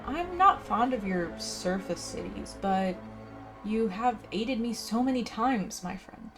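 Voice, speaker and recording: A woman gives casual commentary as she plays a game, sometimes reading quest text aloud. Her voice is mid-pitched, and game music plays clearly behind her. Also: expressive, slow. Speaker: gaming video host.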